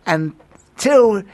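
A woman co-hosting a radio phone-in, jokey and affectionate with the caller. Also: on the high side. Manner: warm, jokey, calls caller darling. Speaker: radio co-host.

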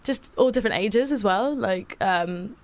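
A woman's voice: medium pitch, unhurried. A young woman with an English accent, chatting casually as a musician guest, with lots of fillers and laughs. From England. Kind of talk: casual chat.

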